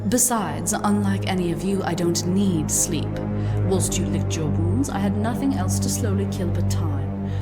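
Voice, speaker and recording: A haughty dark elf priestess type acted by a woman speaks with cool, theatrical menace. Her voice sits fairly low, carried in long reverb over music, as in a fantasy audio drama. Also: lightly acted.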